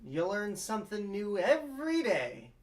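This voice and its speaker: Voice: mid-range voice, sing-song, unhurried. A young performer playing an earnest, easygoing young male lawyer in a sitcom table read, conversational and easily startled. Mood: bemused.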